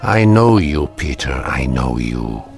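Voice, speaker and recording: A deep, grave old man faces death without fear. His low voice swings widely between quiet weariness and sudden bursts. Slow, measured delivery over vintage drama music. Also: sing-song, vocal fry, exaggerated voice. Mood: defiant. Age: older. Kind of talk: 1950s television drama. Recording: vintage broadcast soundtrack with music.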